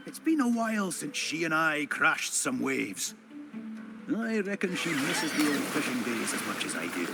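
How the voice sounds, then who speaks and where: An actor voicing a spirited, boastful old retired fisherman in a video game, with folksy old-salt talk. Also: a little high. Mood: fond, nostalgic. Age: older. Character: spirited old retired fisherman, hearty whale-hunting sea dog. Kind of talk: video game character acting. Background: music.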